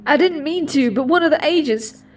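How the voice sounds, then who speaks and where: Casting: young superheroine, high voice, folksy and emotional, radio-play style, a touch off mic with music under. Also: female voice, animated, a little fast, distant mic. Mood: guilty, tearful. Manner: folksy, heartfelt. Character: Rogue, young Southern female mutant superhero, emotional. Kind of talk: audio drama acting.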